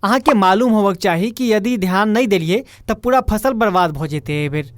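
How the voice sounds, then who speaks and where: A woman's voice: medium pitch, animated, normal speed, noisy background, lightly acted. Woman voicing a worried, protesting husband. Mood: alarmed, protesting. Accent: Maithili. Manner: questioning, protesting. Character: worried, reasoning adult son and farmer husband. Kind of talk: Indian cartoon dialogue.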